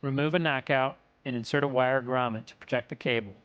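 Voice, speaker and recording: A man reads scripted technical narration for an instructional video in a measured, instructional way. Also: medium pitch, fairly even intonation, clear, resonant tone, no echo, muffled recording.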